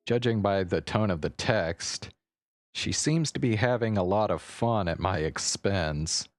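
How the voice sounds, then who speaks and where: A low-pitched man streaming a visual novel, reading the first-person narration aloud. His delivery is measured with occasional stumbles, and the sound is dry and close. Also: expressive, dry recording.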